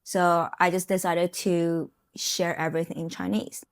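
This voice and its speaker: A young woman with a Taiwanese accent, her native Mandarin showing through small grammar slips, talks to camera in a relaxed vlog. Her voice sits a little low, and she keeps the flow loose with plenty of fillers. The sound is dry and close, with no room around her. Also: a little slow, no room sound. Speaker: software engineer and vlogger. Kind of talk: casual talk to camera.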